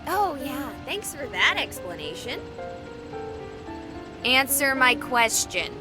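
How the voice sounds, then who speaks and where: A woman playing a nosy, excitable young streamer in a visual novel, with a casual, sarcastic way of talking. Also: high-pitched, slow pace, over music, exaggerated voice.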